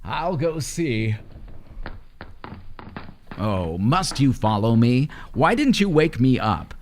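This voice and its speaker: A man's voice: mid-range voice, big pitch swings, takes it slowly, a little mellow, slight background noise. An actor playing an irritable, domineering older husband obsessed with his work, scolding impatiently in an old-time radio horror style. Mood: grumpy, dismissive.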